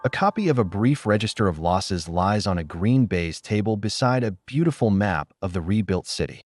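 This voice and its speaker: Low-voiced man narrating a solemn story. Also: animated, a little mellow. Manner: literary, measured, solemn.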